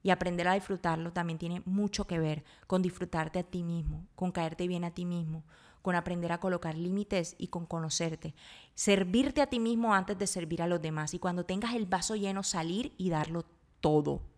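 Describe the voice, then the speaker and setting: Woman doing a solo podcast, lowish voice, thinking out loud, informal and earnest. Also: fairly even intonation, normal speed. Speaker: podcast host. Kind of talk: reflective solo podcast monologue.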